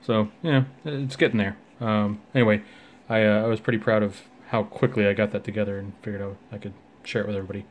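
A man giving a casual, unscripted walkthrough of a hobby tech project in a halting, hesitant way. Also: fairly low pitch, steady tone. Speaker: IT technician and hobbyist demonstrating a project. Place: indoors at a home desk. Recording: light background room noise.

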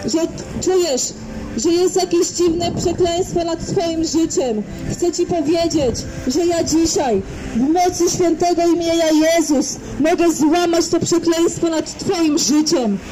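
A woman's voice: extremely high pitch, slow, over music, low-quality recording. A young woman preaching on the street at an open-air market, speaking into a handheld microphone with fervent, repetitive declarations. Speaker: street evangelist. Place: outdoor open-air market by a busy road. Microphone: handheld microphone, far camera.

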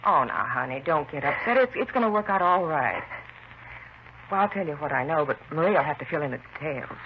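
An actress playing a worried, consoling friend in an earnest 1950s radio drama style. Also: female voice, deep voice, lively intonation, brisk. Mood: soothing. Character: worried, soothing woman friend of a troubled housewife. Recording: muffled, noisy 1950s radio recording.

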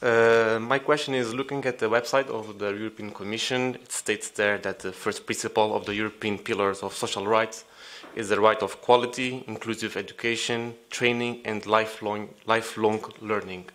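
A man's voice: on the low side, fairly even intonation, normal speed, few pauses, fairly bright tone. A young man from Portugal takes the floor in a large conference hall to ask a question. He is polite, and restarts his opening a touch nervously before he settles. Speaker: audience member asking a question.

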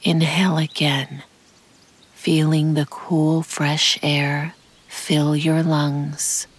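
Slow, low, breathy meditation woman. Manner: calm, soothing, measured. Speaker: guided meditation narrator. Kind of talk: slow scripted guided meditation narration. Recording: birdsong and forest ambience behind. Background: birdsong, insects, nature ambience.

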